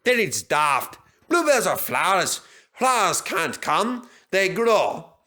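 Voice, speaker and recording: A man's voice: high voice, very animated, slow, bright, forceful tone, pitched above the performer's own voice, full character voice. A man voicing a grumbling, fierce-hearted steam engine in a children's story, blunt and emphatic.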